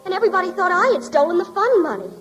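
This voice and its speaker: An actress playing a gushing, grateful young woman, excited, in 1940s radio style. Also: extremely high pitch, racing, lo-fi sound, faint music behind. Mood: gushing, relieved.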